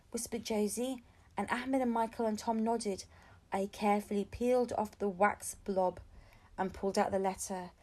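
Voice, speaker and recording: A woman reading a children's storybook aloud, narrating expressively as an audiobook reader. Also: mid-range voice. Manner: expressive storytelling with acted-out dialogue.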